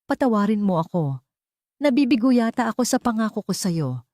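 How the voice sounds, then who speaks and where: A woman's voice: on the high side, very animated, brisk, a little mellow, no echo, voice pushed up. Woman reads ailing old widower: sorrowful, restrained, very dry sound, audio drama. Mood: grieving, subdued. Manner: grieving, restrained emotion.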